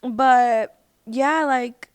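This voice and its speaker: A woman with a fairly high voice chats as an interview guest in a close, dry recording. Her talk is informal and slangy, and she repeats phrases to drive them home. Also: extremely slow, clear, resonant tone, dry recording. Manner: informal, slangy, emphatic with repetition. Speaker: podcast guest, independent singer. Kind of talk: casual personal storytelling in an interview.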